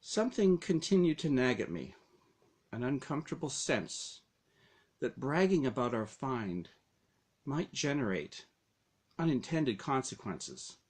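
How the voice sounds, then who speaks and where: A man narrates a scripted first-person story at an unhurried pace. His mid-pitched voice moves widely up and down, and he shapes each phrase deliberately, with a dramatic storyteller's touch. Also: big pitch swings. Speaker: author reading own story.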